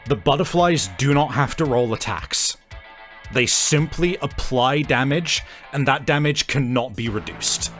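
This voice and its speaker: A man running a tabletop roleplaying game as game master, narrating in a halting, improvised way. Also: mid-range voice, a bit bright, faint music behind.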